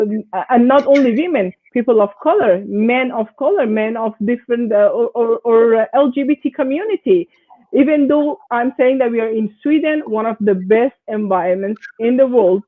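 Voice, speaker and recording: A woman's voice: a little high, normal speed. Woman from Iran on tinny call audio. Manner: hesitant, many fillers and restarts. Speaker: panelist. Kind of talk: panel discussion.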